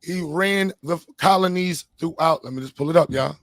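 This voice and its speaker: Male debate host, higher-pitched and a touch hoarse, presses points by repetition, dry close sound. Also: normal speed, dry recording. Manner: insistent, repeats himself. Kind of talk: live debate, reading sources aloud.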